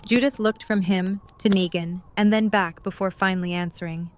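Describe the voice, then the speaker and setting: A woman's voice: mid-range voice, steady tone, moderate pace. A woman reading scripted third-person narration for an audio drama.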